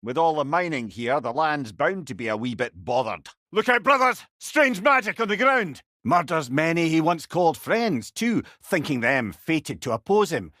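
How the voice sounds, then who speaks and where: An actor with a Scottish accent voicing a wry, eloquent old talking-head companion in a video game. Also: male voice, fairly high pitch, big pitch swings, moderate pace, few pauses, pushed and bright, no room sound, studio quality. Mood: urgent warning. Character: witty, talkative old male severed-head companion, wise and wry. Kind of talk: video game character acting.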